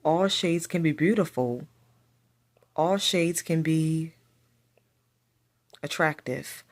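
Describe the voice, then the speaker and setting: Slow, soft-spoken woman with a stutter. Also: deep voice. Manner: speaks with a stutter, repeats phrases. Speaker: vlogger. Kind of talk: casual personal storytelling to camera.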